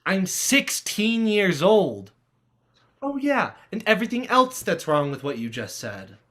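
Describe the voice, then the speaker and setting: A man acts a sardonic teenage boy in an adult stage comedy. The voice is bright and sharp, a little high, with lively swings and a sarcastic bite. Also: a little slow, heavily acted. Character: sardonic sixteen-year-old human boy.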